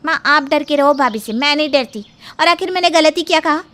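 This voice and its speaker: Woman playing a high, defiant college-age girl. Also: fairly quick, sharp tone. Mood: defiant, complaining. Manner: blunt, complaining. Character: bold, outspoken college-age sister-in-law, impatient and defiant. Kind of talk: Hindi cartoon moral-story acting.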